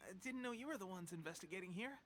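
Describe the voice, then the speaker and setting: A man's voice: high-pitched, expressive, very fast, a bit bright, a little hiss or hum. An actor voicing an earnest young male resistance soldier who is coughing from a cold, in a game cutscene. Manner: earnest, coughing between lines. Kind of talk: video game cutscene voice acting.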